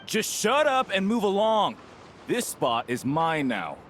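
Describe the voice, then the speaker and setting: A man's voice: sits high, huge pitch swings, unhurried, a bit bright, a little hiss or hum, exaggerated voice. A man voicing a surly, aggrieved punk who bullies people, in a video game. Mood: menacing. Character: aggrieved male punk, surly bullying troublemaker.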